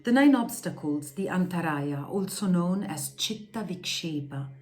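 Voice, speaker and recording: A woman with a fairly low voice reads a scripted lesson on yoga philosophy. Her delivery is measured and deliberate, with each term carefully pronounced. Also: animated, a little mellow. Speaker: reader of a yoga philosophy text. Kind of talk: scripted reading, instructional.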